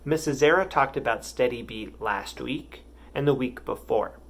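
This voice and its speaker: A man's voice: medium pitch, normal speed. A man teaching a music lesson to young children, patient and encouraging.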